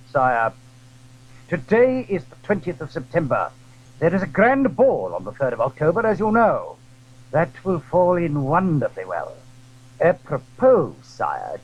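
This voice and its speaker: A man playing a calculating, deferential Cardinal in a theatrical audio drama, speaking in a formal, deliberate way. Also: fairly high pitch, slightly rough, phone-quality sound, heavily acted. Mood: sly, smooth. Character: calculating, deferential Cardinal scheming at the royal court. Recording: 1980s audio drama recording.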